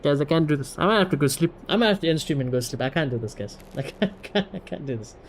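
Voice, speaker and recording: A man with a fairly high voice talks as a live streamer, animated and full of slang and swearing. His commentary is loose and casual, with a faint layer of noise behind it. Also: fast.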